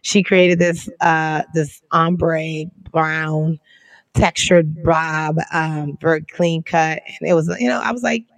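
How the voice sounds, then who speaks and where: A woman co-hosting a podcast in casual chat, hoarse and losing her voice, telling stories in lively slang. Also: low-pitched, takes it slowly, dry recording. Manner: slangy, animated storytelling. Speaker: podcast co-host.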